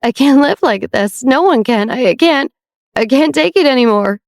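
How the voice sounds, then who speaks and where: A woman voicing a young woman in severe pain, weak and raspy, pleading in halting, broken phrases. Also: sits high, expressive, dry recording, studio quality, pitched above the performer's own voice. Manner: halting, breaking off and repeating words.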